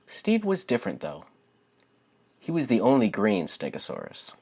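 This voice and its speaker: A man's voice: on the low side, wildly swooping pitch, moderate pace, darkish tone, husky. A young man who hosts a channel and reads his own writing aloud for viewers. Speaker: channel host and children's book author. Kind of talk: casual spoken introduction to viewers.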